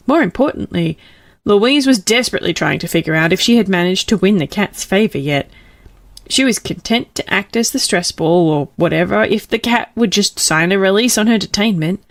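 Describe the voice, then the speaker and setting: A woman narrates a storybook as an audiobook reader. Her voice sits at a medium pitch. The recording is dry, with hardly any room sound around her words. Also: vocal fry, dry recording.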